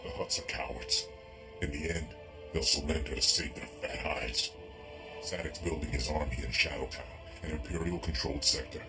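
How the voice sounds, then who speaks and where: A very low-voiced, tormented cyborg agent from a video game, fighting for control of his own mind. He sounds strained, with music clearly behind him. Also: full character voice. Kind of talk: video game dramatic acting.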